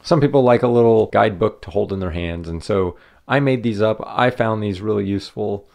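A man's voice: lowish voice, fairly quick, vocal fry. A man who hosts a channel, casually walking through a step-by-step craft demonstration in a craft room. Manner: relaxed, friendly step-by-step explaining. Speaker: channel host. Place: indoor craft room at a table.

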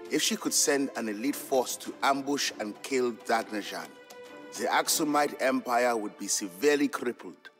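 A man giving a scripted, measured and expressive story narration for a historical game. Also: medium pitch, soft background music.

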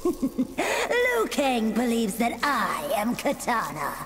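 An actress playing a vicious, mocking warrior princess who is half monster, in a theatrical video game style. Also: female voice, on the high side, huge pitch swings, takes it slowly, noisy background, full character voice. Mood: mocking, sly. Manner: theatrical, taunting, menacing. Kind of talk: video game character acting. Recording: long hall reverb, music behind.

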